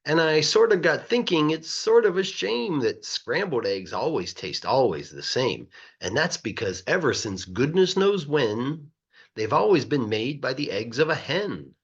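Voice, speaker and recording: A man reading a children's rhyming story aloud in a playful, rhythmic, expressive way, like a teacher. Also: medium pitch, a little slow, slightly rough, highs cut off. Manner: animated, playful, rhythmic verse delivery.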